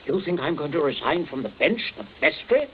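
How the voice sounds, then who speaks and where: A bossy middle-aged father, lively swooping delivery, tinny old broadcast. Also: on the high side, fairly quick, a little hiss or hum. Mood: urgent. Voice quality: stern, commanding. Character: stern middle-aged English father, domineering. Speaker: family man. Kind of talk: 1940s radio acting.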